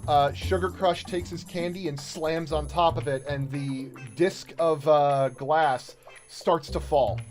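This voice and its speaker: A man in his thirties acting as game master and stream host, narrating and chatting in a halting, conversational way. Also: on the high side, steady tone, darkish tone. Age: 30s. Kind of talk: improvised tabletop game narration and casual chat. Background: music.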